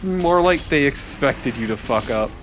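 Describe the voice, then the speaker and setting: A man of middling pitch offers casual side commentary on a video game. He teases and gets sarcastic, with offhand swearing. Light game music runs underneath. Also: animated, a little slow, low-quality recording. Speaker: co-commentator on a let's play.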